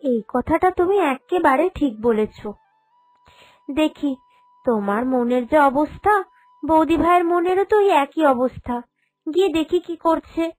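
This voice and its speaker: A woman's voice: sits high, animated, unhurried, pauses often. A woman voicing a caring, outspoken young sister-in-law in a cartoon, coaxing and forthright. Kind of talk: cartoon character dialogue. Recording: music behind the voice.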